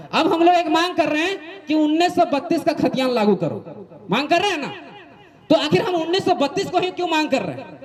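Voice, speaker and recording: A man's voice: extremely high pitch, a little mellow. A man with a Jharkhandi accent giving an emphatic political explainer speech, repeating points and posing rhetorical questions. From Jharkhand, eastern India. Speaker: activist speaker.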